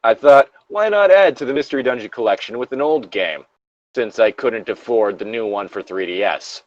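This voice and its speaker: A man's voice: medium pitch, lively intonation, normal speed, highs cut off. A man reading a story aloud casually, with profane, self-interrupting asides and banter as a co-host. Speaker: co-host reading and riffing on internet horror stories.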